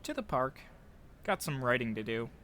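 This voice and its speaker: A man's voice: mid-range voice, big pitch swings, normal speed, fairly bright tone. A man playing his guarded, reluctant eighteen-year-old self in an audio drama, with terse, unsure delivery. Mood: casual, friendly.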